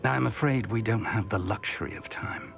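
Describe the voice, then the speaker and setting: An older actor playing an earnest, courteous wizard professor and mentor in a video game. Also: male voice, fairly low pitch, moderate pace, gravelly, a bit bright. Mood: urgent concern. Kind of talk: video game cutscene acting. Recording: music behind.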